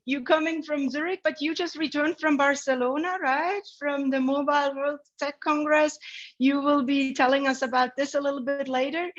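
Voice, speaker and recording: A woman moderating a webinar panel, upbeat and enthusiastic as she introduces the speakers. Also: high voice. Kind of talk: hosting an online panel. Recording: dull lo-fi online audio.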